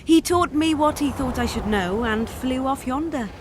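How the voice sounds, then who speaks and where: An actress playing a dragon in the guise of a young woman, speaking in a measured, composed and slightly formal way. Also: sits high, lively intonation, noisy background. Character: dragon posing as a young woman, calm and regal leader. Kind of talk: video game cutscene dialogue. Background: loud orchestral game score.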